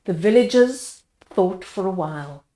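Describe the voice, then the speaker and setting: A woman's voice: mid-range voice, lively intonation, unhurried, dark tone, roomy sound. A woman reading a bedtime storybook aloud expressively, explaining it to young listeners as she goes. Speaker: children's story reader.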